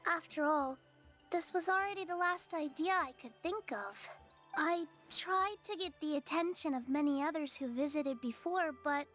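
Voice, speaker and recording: Frail, high-voiced little girl. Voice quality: small, childlike. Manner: hesitant, polite, vulnerable. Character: frail, timid young girl, brave survivor of captivity. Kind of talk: video game voice acting. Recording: game music underneath.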